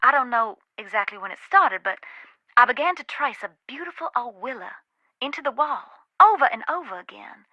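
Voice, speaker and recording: Casting: female Southern spirit medium in a mystery game, haunted storyteller, emphatic, crisp-edged bright tone, close dry sound slightly dulled. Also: fairly high pitch, animated, fairly quick, dry recording, lo-fi sound, exaggerated voice. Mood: confiding, wistful. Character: haunted Southern woman, a warm, wary ghost-hunting spirit medium. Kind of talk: dramatic video game dialogue.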